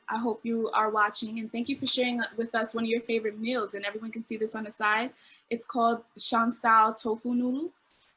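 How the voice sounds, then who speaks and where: Slightly husky, higher-pitched woman host. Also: a bit bright, poor audio. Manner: earnest, stumbles over unfamiliar names. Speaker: event host reading out testimonials. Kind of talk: reading prepared remarks aloud.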